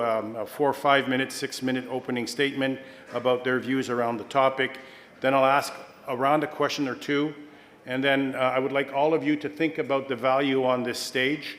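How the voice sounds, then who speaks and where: A middle-aged man from Kenya moderating a conference panel, formal and measured, with frequent fillers and self-corrections. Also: mid-range voice, fairly bright tone. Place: large conference hall. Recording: long hall reverb.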